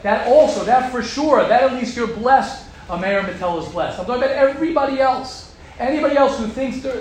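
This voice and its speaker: A man teaching a group of young men, talking forcefully and repeating his points for emphasis. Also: very high-pitched. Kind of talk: emphatic religious talk to a group. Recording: very roomy echo with faint background hum.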